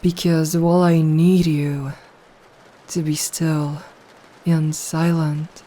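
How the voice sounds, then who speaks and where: A dominant, predatory vampire woman, acted by a woman in an ASMR roleplay. She speaks extremely slowly in a mellow, dark tone, hushed and hypnotic. Her pitch barely moves, keeping every phrase controlled and possessive. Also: little pitch movement. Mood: hypnotic, coaxing. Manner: slow, hushed, deliberate, hypnotic. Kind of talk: slow, intimate ASMR roleplay.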